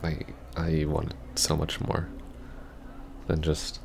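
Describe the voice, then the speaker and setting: A man acts out a hesitant, heartbroken ex-boyfriend for an intimate audio roleplay. He speaks slowly and softly in an even, subdued tone, stumbling over his words as he apologizes. There is faint noise behind the voice. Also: rumbling low, creaky, full character voice. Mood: heartbroken, pleading. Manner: halting, stammering, soft-spoken. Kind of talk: intimate ASMR roleplay acting.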